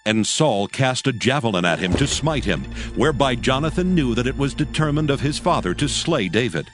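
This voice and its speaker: A man's voice: mid-range voice, expressive, a little fast. A man giving a solemn, scripted dramatic narration of scripture in a storytelling manner. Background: music.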